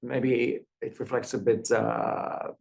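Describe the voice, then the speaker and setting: Measured man asking a question. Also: medium pitch, little pitch movement, gravelly, darkish tone. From Belgium. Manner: measured, with occasional "uh" pauses. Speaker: audience member asking a question. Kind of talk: question at an academic talk.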